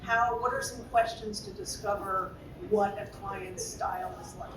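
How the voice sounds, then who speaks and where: A woman's voice: mid-range voice, steady tone, unhurried, echoey room, recorded from a distance, a crowd in the background, poor audio. A woman moderating a panel discussion, asking designers questions in a playful way. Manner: playful, asks questions with fillers.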